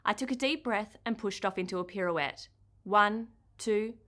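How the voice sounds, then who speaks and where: A woman with an Australian accent reads a children's novel aloud in the first person. Her pitch is medium, and her delivery is expressive storytime narration. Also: normal speed. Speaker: author reading her own book.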